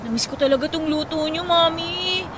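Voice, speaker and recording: A woman's voice: very high voice, moderate pace, background noise. A woman playing a cheerful, cheeky young singer chatting respectfully with her mother in a Tagalog radio drama. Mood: warm, casual. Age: young adult. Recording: loud music bed behind the voice.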